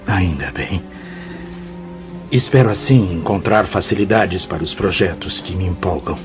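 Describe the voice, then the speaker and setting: Guilt-ridden brazilian dead man's spirit in a radio play, low voice, anguished theatrical pleading, music behind. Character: tormented, remorseful male suicide's spirit, desperate and pleading. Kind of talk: radio drama acting. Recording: dull lo-fi audio under clear music.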